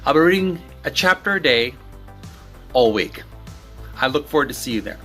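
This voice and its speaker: Lively, bright-voiced man reading aloud. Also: medium pitch, normal speed, faint music behind. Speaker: host reading a book aloud. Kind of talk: book narration for a video channel.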